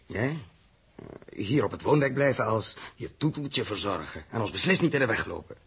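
An actor playing a firm, reasoning spaceship crew leader in a 1960s Dutch radio drama, speaking with deliberate authority. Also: male voice, fairly low pitch, animated, fairly bright tone, slightly rough, exaggerated voice. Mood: firm, commanding.

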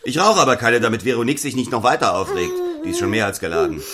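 A man's voice: medium pitch, very animated, quick pace, fairly bright tone. An actor playing a detached, self-important businessman husband in an audio drama, dry and condescending. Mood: dismissive. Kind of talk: theatrical audio play acting.

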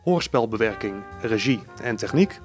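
A man's voice: lowish voice, expressive, normal speed. A man reading the scripted closing credits of a radio play as its announcer. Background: music.

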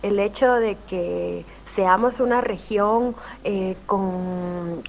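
A woman's voice: medium pitch, extremely slow, creaky, faint music behind. A woman from Guatemala, a rapper and guest on a radio show, speaking thoughtfully with frequent restarts. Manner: thoughtful, searching, many restarts. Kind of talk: reflective interview talk.

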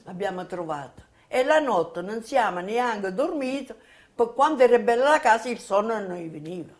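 An elderly woman giving personal testimony in plain, halting, repetitive folk speech. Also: a little high, lively intonation. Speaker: former colonist giving testimony. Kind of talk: spoken personal recollection.

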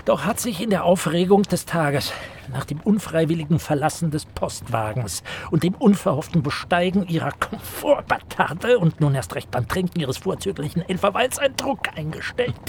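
High-voiced, obsequious traveller in a radio play. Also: male voice, lively intonation, normal speed, gravelly, hardly any pauses, slight background noise. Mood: eager, deferential. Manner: obsequious, meticulous, wordy. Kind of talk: German radio play acting.

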